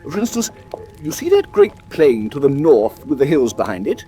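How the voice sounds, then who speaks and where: Animated, high-pitched eager naturalist. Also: male voice, moderate pace, soft background music. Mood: enthusiastic. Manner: polite, hesitant, wordy. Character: eager, talkative naturalist man living on the moor. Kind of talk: classic radio drama acting.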